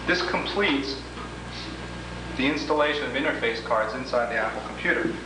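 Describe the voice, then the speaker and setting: A man giving an instructional product demo, explaining each step in a deliberate, methodical way. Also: medium pitch. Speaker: product demonstrator. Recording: roomy, echoing, noisy 1980s demo tape, distant mic.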